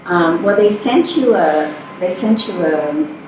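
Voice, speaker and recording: An older woman born in Scotland, talking about her life in a relaxed, thoughtful way, pausing often as she answers. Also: lowish voice. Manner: relaxed, thoughtful, with frequent hesitations. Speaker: interview guest, actress. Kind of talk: casual conversational interview. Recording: echoey room, distant mic, faint noise.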